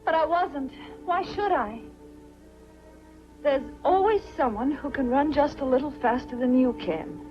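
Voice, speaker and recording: A woman's voice: high-pitched, measured pace. An actress playing a frightened young woman who is wronged yet defiant, earnest and dramatic in 1940s film noir style. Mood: indignant, pleading. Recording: muffled 1940s film soundtrack with music behind.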